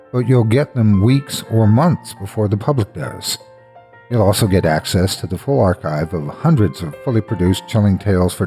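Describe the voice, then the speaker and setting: A man with a husky voice, a storyteller delivering scripted narration in deliberate, theatrical phrasing. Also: lowish voice, mellow. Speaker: horror story narrator and host. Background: faint music.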